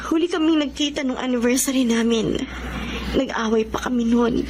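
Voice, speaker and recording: A woman's voice: a little high, normal speed, background noise, natural voice. An actress playing a devoted, sensitive young girlfriend in an emotive Filipino radio drama. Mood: sorrowful. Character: young devoted girlfriend, hurt yet tender and earnest.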